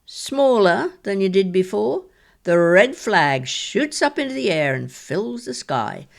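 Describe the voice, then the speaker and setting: An actress playing a wry, shrewd elderly mother and grandmother in an audio drama, speaking in a measured, reflective way. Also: medium pitch, very animated, gravelly, heavily acted. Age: older.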